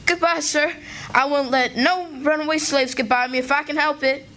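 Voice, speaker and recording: A woman's voice: sits high, steady tone, moderate pace, close to an everyday voice. A woman playing a scrappy, plain-spoken country boy in a radio play. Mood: nervous, evasive. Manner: folksy, colloquial. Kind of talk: old-time radio play acting. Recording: live stage performance with music behind.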